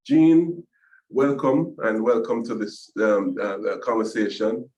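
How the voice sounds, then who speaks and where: A man with a fairly low voice moderates an online panel over a video call. He stumbles, restarts his phrases and chuckles between introductions. The sound is roomy and echoey. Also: animated, slow pace, a little mellow, lo-fi sound. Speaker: webinar host, business agency executive.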